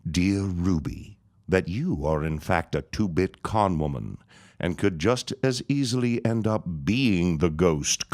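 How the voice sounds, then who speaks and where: A deep gloating villain reverend. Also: male voice. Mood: threatening. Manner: sinister, gloating, cackling. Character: scheming greedy male reverend, villain. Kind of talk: audio drama acting.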